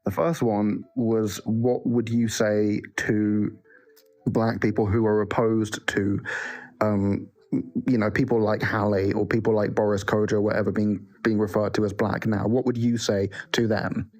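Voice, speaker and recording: A man in a casual live discussion, pressing others with pointed questions and many halting restarts. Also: lowish voice, level tone, darkish tone. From half West African parentage. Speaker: live stream participant. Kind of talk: casual debate.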